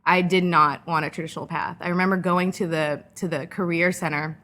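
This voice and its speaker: A woman in her thirties from California talks through her story as a podcast guest. Her voice sits a little low, and she sounds thoughtful and steady, leaning on fillers like "um" and "like". She grows lively when she tells anecdotes. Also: a little fast. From California, Orange County. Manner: reflective, lively when telling anecdotes, frequent "um" and "like". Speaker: guest entrepreneur. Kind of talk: conversational podcast interview.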